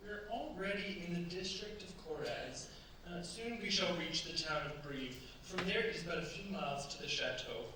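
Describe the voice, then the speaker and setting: An actor playing an eager, doting young French bridegroom in a staged radio play, with a courtly, effusive manner. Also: male voice, on the high side, animated, normal speed, natural voice. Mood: proud, enthusiastic. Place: staged performance in a cultural centre. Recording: very echoey hall, distant mics, faint hiss.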